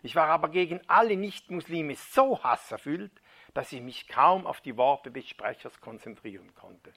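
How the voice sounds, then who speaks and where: Male preacher, slightly higher pitch, crisp bright tone; dramatic storytelling read with whispered hushes. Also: animated, moderate pace. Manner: dramatic reading, dropping to a hushed near-whisper at key moments. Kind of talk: sermon retelling a first-person testimony.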